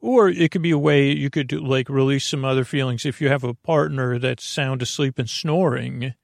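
Male podcast host, low-pitched for no one, gravelly and creaky, close dry sound; halting, whimsical, wandering monologue meant for bedtime. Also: fairly even intonation, dry recording. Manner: halting, meandering, self-interrupting, talks to himself.

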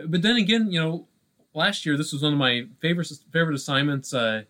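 A man's voice: fairly high pitch, lively intonation, brisk, pushed and bright. A man co-hosting a podcast, talking casually about film in a hesitant, circling way with many restarts. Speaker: podcast co-host.

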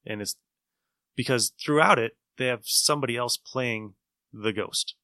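Bright-voiced man, rambling enthusiast. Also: medium pitch, a little slow, dry recording, clean studio sound. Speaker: podcast host. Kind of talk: casual chat reviewing old radio shows.

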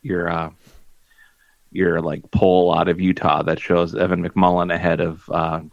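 A man with a very deep, gravelly voice rambles wryly through casual podcast talk. The sound is dry and a little dull, as if from a basic mic. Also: little pitch movement, dry recording. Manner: rambling, halting, wry. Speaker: podcast co-host. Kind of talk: casual chat.

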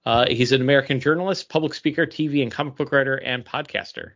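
Average-pitched man, hesitant, lo-fi sound. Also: fairly even intonation, quick pace, a bit bright. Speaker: podcast co-host. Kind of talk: casual comic book preview. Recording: dull, lo-fi podcast audio.

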